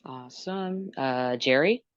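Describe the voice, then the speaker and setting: A woman hosting a group dating show, calling on guests in turn with brisk, short approvals. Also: rumbling low, no room sound, lo-fi sound. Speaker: host.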